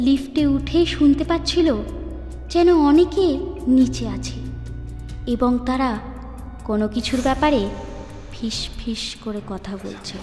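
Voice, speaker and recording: A woman's voice: high voice, unhurried, pauses often, warm tone. A woman narrating a scripted horror story in a dramatic, suspenseful manner, phrase by phrase. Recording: echoey long reverb over clear music. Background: background music.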